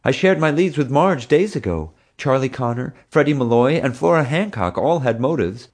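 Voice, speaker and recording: A man voicing an earnest young woman zookeeper, raising his voice high for her in an audiobook reading. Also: animated, moderate pace, a little mellow. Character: young woman zoo keeper, earnest and eager.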